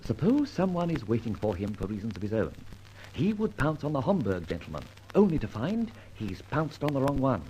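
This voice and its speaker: An actor playing a dashing, flippant gentleman adventurer in an old-time radio detective drama, with a theatrical, wry and wordy manner. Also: mid-range voice, huge pitch swings, brisk, a little hiss or hum, rough recording. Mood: brisk, confident.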